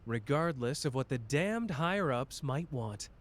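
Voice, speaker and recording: A man's voice: medium pitch, animated, a little slow, natural voice. A man playing a fierce, protective young sorcerer who feels betrayed and is in love, in an emotional, intimate anime roleplay addressed to the listener. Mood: resolute, protective. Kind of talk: dramatic ASMR character roleplay.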